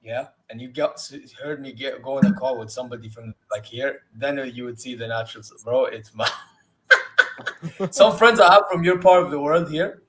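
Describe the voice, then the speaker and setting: A man's voice: mid-range voice, normal speed, a little hiss or hum, rough recording. A man hosting a watchalong, telling stories in casual chat and laughing often. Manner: laughing, animated storytelling. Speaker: watchalong host.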